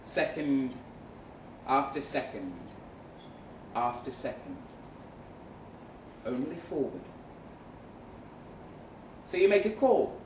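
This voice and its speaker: Slow, deliberate male storyteller in a roomy space. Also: medium pitch, very animated. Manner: deliberate, measured with dramatic pauses. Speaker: short story reader at a live event. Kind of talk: dramatic first-person literary reading. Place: event room in a pub. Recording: roomy, distant mic with faint noise.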